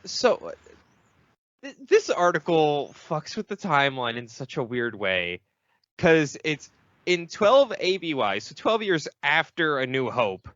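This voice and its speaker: Animated man, bright dry podcast voice. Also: fairly high pitch, measured pace, no echo. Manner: casual, hesitant, sweary. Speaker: podcast host presenting a segment. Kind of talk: casual banter about a fan wiki. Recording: dull lo-fi podcast audio.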